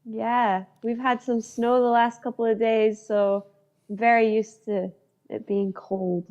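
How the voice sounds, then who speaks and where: A woman's voice: on the high side, flat delivery, measured pace, a little mellow. A young woman from Northern Ireland, a guest actor chatting casually in an interview and telling stories with lots of fillers. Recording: dull lo-fi sound.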